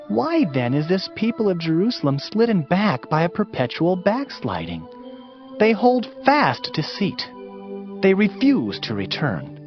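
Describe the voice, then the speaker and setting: A man narrating a dramatized Bible reading in a solemn, emphatic, declamatory manner. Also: fairly high pitch. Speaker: scripture narrator. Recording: slightly muffled, music underneath.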